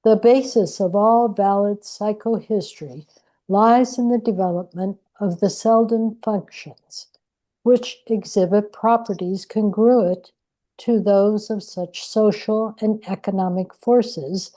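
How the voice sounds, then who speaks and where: A woman giving a formal, measured scripted reading as a narrator. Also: medium pitch, mellow, rough recording.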